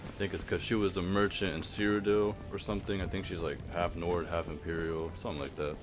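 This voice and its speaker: A man's voice: deep voice, flat delivery, fast talker, music in the background. A man hosting a game playthrough, thinking aloud in casual, laid-back commentary. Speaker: gaming video host.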